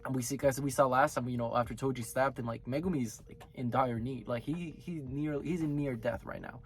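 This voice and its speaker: A man runs his anime review video in a casual, rambling way, often stopping to correct himself mid-sentence. He is sick, and his voice sounds hoarse and raspy throughout. His voice sits at an ordinary middle pitch, with soft music faintly underneath. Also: fairly quick.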